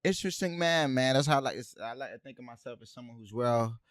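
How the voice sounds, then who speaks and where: A young man from Haiti tells stories with lots of energy on a casual podcast, dropping "bruh" and "man" all the time. His voice is close-miked and dry, with a mid-range pitch. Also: fast, no echo. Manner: animated storyteller, says "bruh" and "man" a lot. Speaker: podcast co-host. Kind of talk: casual chat.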